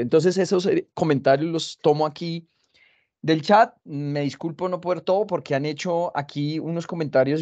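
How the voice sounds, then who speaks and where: A man in his forties moderates an academic panel from an office, his voice mellow and a touch higher than most. He hesitates often, restarting phrases and filling gaps with "eh". The call audio through his earphone mic is dull and lo-fi. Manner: halting, many fillers and restarts. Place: high-rise office with city view. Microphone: wired earphones on a video call.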